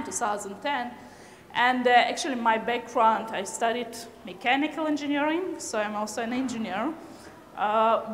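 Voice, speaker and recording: Woman speaking in an echoey hall. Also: on the high side. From Kyrgyzstan. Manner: hesitant, many fillers, non-native phrasing. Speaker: conference speaker. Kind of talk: conference talk. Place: large lecture hall. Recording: echoey hall with reverb, faint noise.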